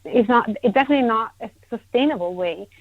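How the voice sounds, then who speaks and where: A woman from Italy, a guest in a conversational interview, emphatic and quick to repeat her agreement. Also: a little high, expressive, no room sound. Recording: tinny phone-line sound, dry.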